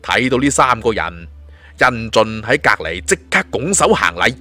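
A man's voice: medium pitch, big pitch swings, moderate pace, pushed and bright, soft background music. A man with a Hong Kong Cantonese accent narrating a fantasy story in a dramatic storytelling style. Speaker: audiobook narrator. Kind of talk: dramatic scripted storytelling of a suspense fantasy novel.

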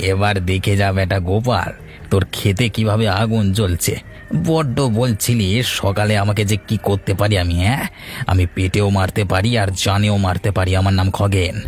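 A man acting a gloating, low-voiced village villain. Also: steady tone, a little slow, faint music behind, natural voice. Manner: menacing, taunting, laughing villain. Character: gloating, spiteful village bully and land-grabber. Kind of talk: Bengali fairy-tale cartoon acting.

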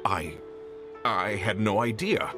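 Defensive, swooping-voiced merchant. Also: male voice, fairly low pitch, animated, takes it slowly, music in the background. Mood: flustered. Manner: argumentative, self-justifying. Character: smug, defensive adult male merchant, easily rattled. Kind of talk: video game character acting.

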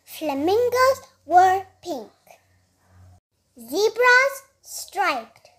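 A woman reading a picture book aloud as a storyteller, with an expressive, deliberate delivery for young children. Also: extremely high pitch.

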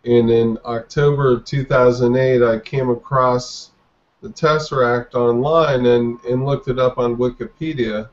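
A man's voice: medium pitch, steady tone, measured pace. A man in his forties, a guest on a video call interview, talking in a hesitant way with restarts. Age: 40s. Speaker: guest artist. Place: dim room indoors. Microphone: webcam on video call. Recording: dull lo-fi webcam audio.

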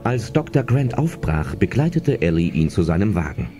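Casting: male drama narrator, deep, expressive intonation, music bed behind. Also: moderate pace. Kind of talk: scripted audio drama narration.

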